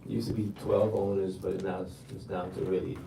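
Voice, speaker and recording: A very deep-voiced older man from Jamaica answers interview questions about his DJ work in a relaxed, repetitive way. He sits back from the mic in an echoey room. Steady background noise runs under his voice. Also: brisk, vocal fry. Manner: relaxed, repeats words for emphasis. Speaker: DJ guest. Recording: roomy, distant, noisy livestream audio.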